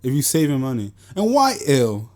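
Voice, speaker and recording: Cara de vinte e poucos, sotaque de Nova York, papo solto de podcast, brincalhão e boca-suja. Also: male voice, medium pitch, huge pitch swings, moderate pace, a little mellow. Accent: New York. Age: 20s. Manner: joking, loose, swears freely. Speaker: podcast co-host. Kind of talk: casual chat.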